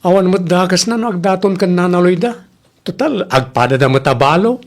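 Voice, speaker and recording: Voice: medium pitch, animated, brisk, close to an everyday voice. An actor playing a teasing grown child bantering with their mother in a comedy radio drama.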